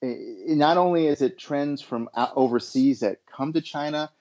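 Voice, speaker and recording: A man's voice: on the high side, darkish tone. American man on a dull lo-fi line. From Los Angeles, USA. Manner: measured, hesitant restarts. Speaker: guest, education consultant. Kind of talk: interview answers. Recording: dull lo-fi sound.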